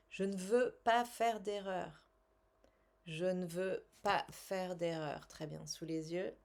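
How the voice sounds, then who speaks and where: A woman coaching a guided tapping exercise in short, measured, instructional phrases with pauses and repeated statements. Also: on the low side, creaky. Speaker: tapping coach and language teacher.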